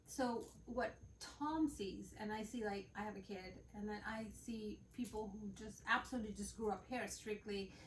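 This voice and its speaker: A woman's voice: mid-range voice, moderate pace, hardly any pauses. Woman rambling on a home podcast, lots of likes and restarts, sounds a bit far from the mic, room echo. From Bangladesh and the United States. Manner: rambling, full of fillers and restarts. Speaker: podcast co-host. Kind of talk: casual chat. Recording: roomy, off-mic with faint background noise.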